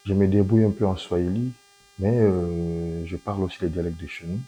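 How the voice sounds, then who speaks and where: A man's voice: low voice, steady tone, a little fast, warm tone. A man with a Congolese French accent answering interview questions briefly. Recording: dull lo-fi sound.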